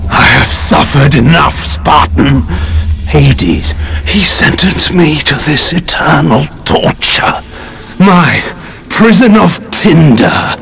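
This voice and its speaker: A man playing a weary, tormented prisoner in a video game, strained, halting and pleading. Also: fairly high pitch, very animated, gravelly, fairly bright tone, faint music behind, heavily acted. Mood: anguished, bitter. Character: suffering tortured male prisoner, weary and pleading. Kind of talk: video game cutscene acting.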